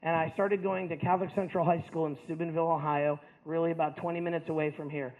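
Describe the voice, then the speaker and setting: A man's voice: a little high, level tone, fast talker, narrow, tinny audio. A man in his fifties from the United States giving a personal testimony to an audience, earnest and chatty, often checking in with "right?". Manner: earnest storytelling with frequent "like" and "right?".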